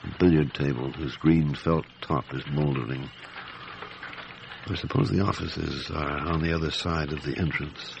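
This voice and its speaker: A man playing a seasoned, gruff and methodical police chief inspector in a detective radio drama, speaking in a measured, deliberate, brooding way. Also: rumbling low, dull audio, background noise. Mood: brooding, observant. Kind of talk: radio drama acting and narration.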